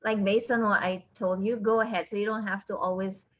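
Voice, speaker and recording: A woman who is a university counselor, giving measured, thoughtful and encouraging answers in an interview. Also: medium pitch, steady tone.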